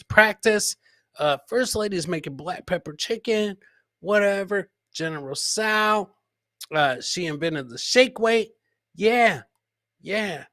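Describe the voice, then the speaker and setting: A man's voice: high voice, sharp tone, no echo, clean studio sound. A man hosting a live comedy podcast, giving casual, rambling commentary on clips and repeating his catchphrases.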